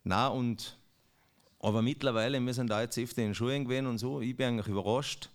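A man from Austria with a Pinzgau dialect accent, a dialect poet interviewed as a guest, talking in rambling, halting sentences. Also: medium pitch, fairly even intonation. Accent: Pinzgau dialect, Salzburg. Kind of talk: radio interview.

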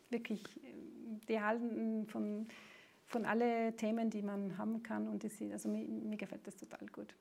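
Hesitant woman, slightly gravelly voice. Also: medium pitch, a little mellow. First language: Italian. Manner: hesitant, searching for words, many restarts. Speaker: singer-songwriter. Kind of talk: interview answers.

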